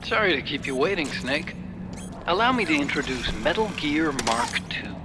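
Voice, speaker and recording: A man's voice: on the high side, very animated, normal speed, background noise. An actor playing an earnest, brainy male engineer in a video game, explaining things in an articulate, friendly way. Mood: friendly, reassuring. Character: Otacon, earnest brainy male tech engineer, mission support. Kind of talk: video game cutscene acting, mission briefing.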